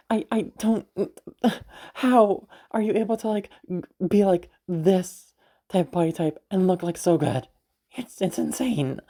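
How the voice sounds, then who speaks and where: A woman acts out a young noblewoman mage in an intimate audio roleplay. Her voice is low and mellow, with a gravelly fry through it. She talks in halting, stammering bursts, flustered yet fondly protective of her knight. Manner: halting, stammering, giggly, mild swearing.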